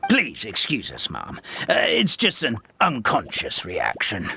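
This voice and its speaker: A man's voice: on the low side, huge pitch swings, measured pace, gravelly, sharp tone, slight background noise, full character voice. A man voicing a courtly but timid old forest creature in a game, formal and pompous. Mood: apologetic. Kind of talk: animated game dub acting.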